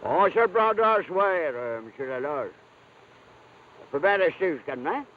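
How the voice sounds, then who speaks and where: A man's voice: a little high, expressive, a little slow, narrow, tinny audio. An actor playing an easygoing, simple backwoods man in a 1950s television drama, with a folksy Quebec French accent. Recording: thin, hissy old television soundtrack.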